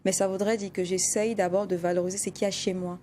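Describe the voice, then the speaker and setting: A woman's voice: medium pitch, level tone, quick pace, mellow, slight background noise. A woman from Cameroon, a guest singer on a television panel, speaking passionately and insistently. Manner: passionate, insistent, laughs often. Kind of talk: lively panel debate.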